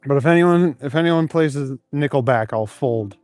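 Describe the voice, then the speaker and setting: A man's voice: on the high side, normal speed, a little mellow, clear, resonant tone, soft background music. A man live streaming while out on cold city streets, reading chat aloud in an easygoing, chuckling, rambling way. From Canada.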